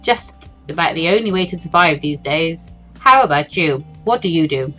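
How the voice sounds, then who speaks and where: A woman's voice: low-pitched, animated, normal speed, bright, forceful tone, voice pushed down, low-quality recording. A woman voicing an easygoing, friendly, teasing working man in a visual novel, with a laid-back manner. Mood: amused, blunt. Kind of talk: visual novel character reading. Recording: music behind the voice.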